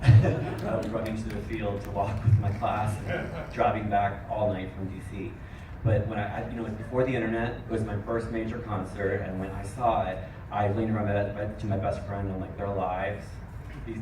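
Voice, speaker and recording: A man in his forties in the audience, telling an animated personal story. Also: fairly low pitch, normal speed. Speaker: audience member. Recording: echoey hall, far from mic, noisy.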